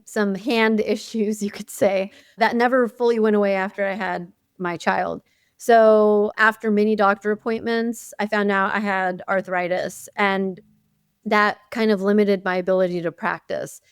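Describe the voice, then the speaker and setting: Woman, Arabic first language, interview guest, chatty storytelling, smooth clear voice, dry close sound. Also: medium pitch, dry recording. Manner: animated storytelling with frequent "you know" and laughs. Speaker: guest, chief dental officer. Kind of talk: conversational interview.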